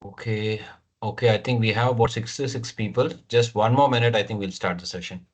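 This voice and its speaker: A man's voice: on the low side, brisk. A man with an Indian English accent hosting an online webinar, speaking casually with many fillers and restarts. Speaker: webinar host. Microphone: computer mic on video call. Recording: dull lo-fi video call audio.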